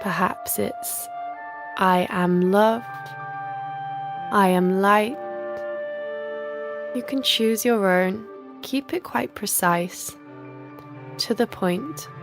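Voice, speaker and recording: A woman leading a guided yoga nidra relaxation as a teacher, speaking in a soothing, measured, instructional manner with gentle pauses. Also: mid-range voice, music in the background.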